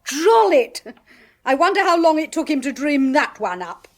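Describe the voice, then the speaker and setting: This is a sardonic, theatrical clairvoyant from a 1980s British radio drama, a woman of middle age with a sharp tongue. fairly high, with a British accent and a dry, flamboyant bite. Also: sing-song, moderate pace. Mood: bitter. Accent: British English.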